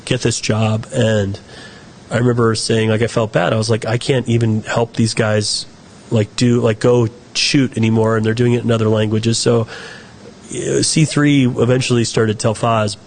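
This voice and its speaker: A man's voice: medium pitch, little pitch movement, normal speed, vocal fry, slight background noise, rough recording. An American man, a film director, answering interview questions in a loose, rambling way, often restarting and correcting himself. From United States. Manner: rambling, self-correcting storyteller. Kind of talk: casual conversational interview.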